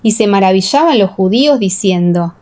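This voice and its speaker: A woman reading a dramatized Bible audiobook as narrator, in a solemn, measured storytelling manner. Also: mid-range voice, animated, darkish tone. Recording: echoing, long reverb.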